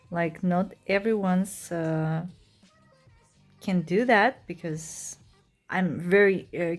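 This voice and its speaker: A vlog host from Kazakhstan, a woman with a fairly low voice, speaks in a friendly, upbeat way and leans on plenty of fillers. The room around her is echoey, and faint music plays underneath. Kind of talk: vlog introduction and interview.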